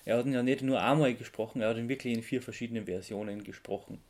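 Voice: medium pitch, fairly even intonation, fast talker, a little mellow. A podcast co-host, explaining things informally in casual conversation.

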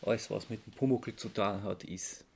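A man's voice: on the low side, steady tone, moderate pace, gravelly, a little mellow, breathy edge. Austro-Bavarian man explaining casually. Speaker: podcast co-host.

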